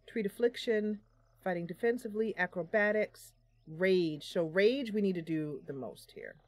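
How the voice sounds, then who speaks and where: A woman's voice: mid-range voice, dry recording. Chatty goofy woman streaming a game. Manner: chatty, goofy, thinks out loud. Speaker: streamer and host of a gaming channel. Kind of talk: casual live game commentary.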